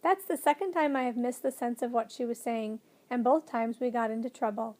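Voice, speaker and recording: A woman voicing a kindly, excitable old male professor in a storybook, fervent and given to gentle lecturing. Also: a little high, fairly quick, lightly acted. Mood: weary, earnest.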